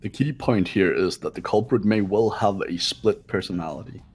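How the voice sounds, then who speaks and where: Man voicing a haughty young man, low and husky. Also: normal speed, a little mellow. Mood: superior. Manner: condescending, curt, commanding. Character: haughty, cold, impatient rich male student rival. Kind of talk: visual novel character reading.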